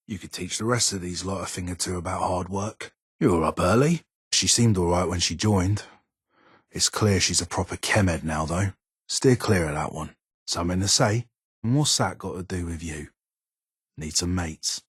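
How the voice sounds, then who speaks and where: A gruff, world-weary English gunsmith from a video game, with a low voice that is heavily rasped. He talks bluntly and guardedly, grumbling in short, curt phrases. The sound is close and dry. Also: dry recording. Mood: brusque, busy. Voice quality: husky, raspy. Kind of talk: video game character dialogue.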